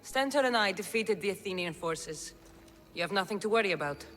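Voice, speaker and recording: A woman's voice: medium pitch, expressive, fairly quick, fairly bright tone, long reverb tail, soft background music, lightly acted. An actress playing a bold, resolute Spartan warrior woman in a video game. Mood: assured. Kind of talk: video game dramatic acting.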